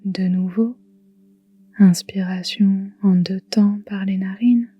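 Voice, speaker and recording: A woman guiding a relaxation meditation in a soft, whispery, calm and soothing voice, leaving long pauses. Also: medium pitch, dry recording, highs cut off. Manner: soft, breathy, whispery delivery. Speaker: yoga nidra guide.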